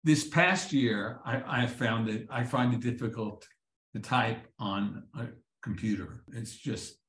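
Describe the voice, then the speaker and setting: Man, middle pitch, dry self-deprecating humor, halting restarts, echoey room sound. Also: a bit bright. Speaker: comedy writer and professor. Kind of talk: casual interview answers. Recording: roomy echo.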